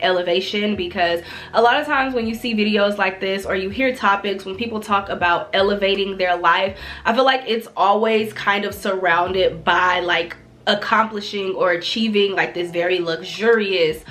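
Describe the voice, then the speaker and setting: A woman talking to camera in a relaxed self-development vlog, her voice a bit nasal and congested from a cold, earnest and chatty with casual slang. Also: mid-range voice. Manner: earnest, repeats phrases for emphasis. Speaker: vlogger.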